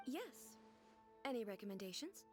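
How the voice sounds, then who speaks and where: A woman playing an aloof, curious shogun ruler in a video game, speaking formally, calmly and without hurry. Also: on the high side. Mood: reassuring. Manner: measured, formal, unhurried. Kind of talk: video game dialogue acting. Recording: music behind the voice.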